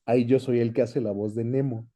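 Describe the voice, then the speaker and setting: A man's voice: medium pitch, fairly even intonation, a little fast, warm tone. A young Mexican man chatting easily as an interview guest, reminiscing about his work in a casual way. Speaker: guest, voice actor.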